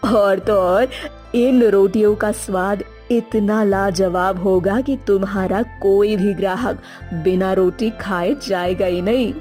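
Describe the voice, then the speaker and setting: A woman's voice: mid-range voice, fairly even intonation, slow pace, darkish tone, faint music behind, natural voice. A woman voicing a mysterious, kindly older beggar woman who is a goddess in disguise, solemn and benevolent, in a children's story.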